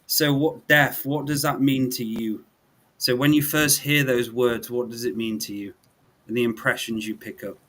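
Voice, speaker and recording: A man's voice: medium pitch, steady tone, moderate pace, a bit bright. A man with a mid-range voice hosts a spiritual talk show. He speaks in a conversational, reflective style. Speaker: show host.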